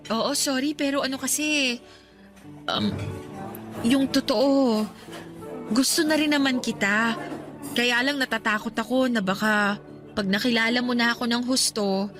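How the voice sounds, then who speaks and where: A woman's voice: fairly high pitch, a little slow, long pauses, close to an everyday voice. Actress as earnest young woman, guarded in love. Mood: hesitant, apologetic. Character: guarded, devoted young working woman turning down a suitor. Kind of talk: radio romance drama acting in Tagalog. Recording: soft music bed underneath. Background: music.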